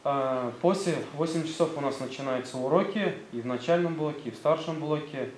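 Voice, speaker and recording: A man's voice: a little high, a little fast. A man with a Tatar accent, a school director presenting his gymnasium to visiting officials, hesitant and full of fillers. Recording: roomy sound, faint background noise.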